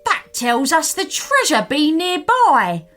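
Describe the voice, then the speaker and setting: Gruff storybook pirate captain, acted by a woman, hungry for treasure, with very lively delivery. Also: high voice, takes it slowly, soft background music, voice pushed up, full character voice. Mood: commanding. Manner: pirate turns of phrase.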